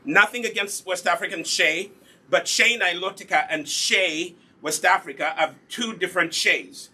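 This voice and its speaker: A Ugandan man with a fairly high, bright voice that has a husky grain. He hosts a live demonstration in a casual, chatty way. He instructs as he goes and often repeats key points for his viewers. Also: moderate pace. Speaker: host, natural hair product seller and demonstrator.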